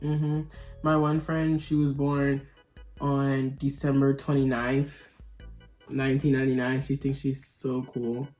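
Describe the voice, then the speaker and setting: A woman's voice: very deep voice, flat delivery, a little slow, warm tone, faint music behind. A nineteen-year-old woman vlogger chatting casually while her makeup is done, rambling and giggly with lots of fillers. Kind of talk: casual chat.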